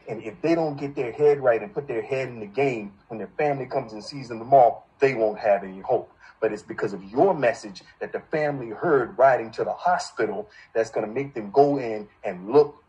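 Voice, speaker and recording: A man's voice: medium pitch, a little mellow. A man coaching voice actors in a group session, encouraging and directive, on dull lo-fi call audio. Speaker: voice acting coach.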